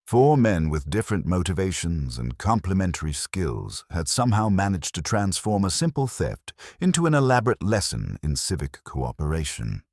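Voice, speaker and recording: Very deep male story narrator. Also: sing-song, moderate pace, hardly any pauses, a little mellow, no room sound, studio quality. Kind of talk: scripted mystery story narration.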